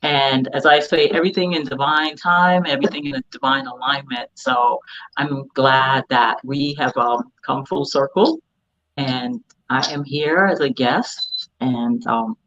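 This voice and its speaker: A woman in her fifties from Barbados talks reflectively as an interview guest, measured and searching for her words. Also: a bass voice, a bit bright, lo-fi sound. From Barbados, moved to the United States at ten. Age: 50s. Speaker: guest priestess. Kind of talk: casual reflective interview talk.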